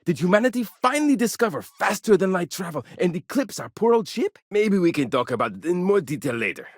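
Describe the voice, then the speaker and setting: A man voicing an eager, curious chief engineer of an aging colony starship in a video game, chatty and excitable. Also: sits high, brisk, no echo. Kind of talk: video game character dialogue.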